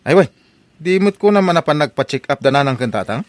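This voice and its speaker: Animated Ilocano man acted, close dry sound. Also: fairly high pitch, quick pace, no echo, close to an everyday voice. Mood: inquiring, concerned. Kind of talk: comedy audio drama.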